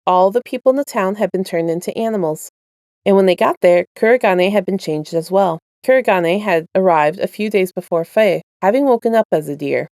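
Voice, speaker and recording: A woman's voice: mid-range voice, warm tone, no echo, clean studio sound. A woman narrating her own written fiction as an amateur audio story reader. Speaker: podfic writer and narrator. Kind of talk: casual intro and scripted story narration.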